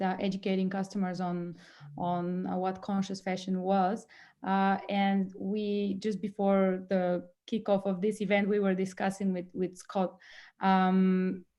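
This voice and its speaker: A woman introducing herself on an online panel, in a measured, hesitant way with many fillers. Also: mid-range voice, mellow, lo-fi sound. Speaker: panel guest, startup co-founder.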